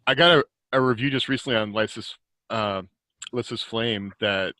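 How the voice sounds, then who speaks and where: A man with a fairly low, forceful voice speaks as a podcast guest. He is reflective and halting in casual conversation. The sound is dry and close. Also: dry recording. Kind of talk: casual group podcast chat.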